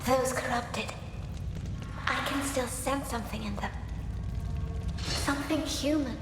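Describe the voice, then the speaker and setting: An actress playing a gentle, compassionate young sister in a video game, earnest and soft-spoken, with a ghostly reverb on her voice. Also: high voice, a little slow. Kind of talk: video game cutscene acting. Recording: heavy echo, music behind.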